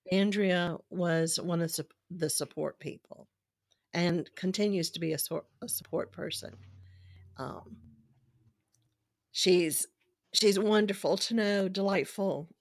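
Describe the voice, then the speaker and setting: A woman talks as a podcast guest in a chatty, eager way. Her voice is going hoarse as she loses it. The sound is dry and close, with no room echo. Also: on the low side. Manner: chatty, recommends people with enthusiasm. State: losing her voice. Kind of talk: casual phone-style interview chat.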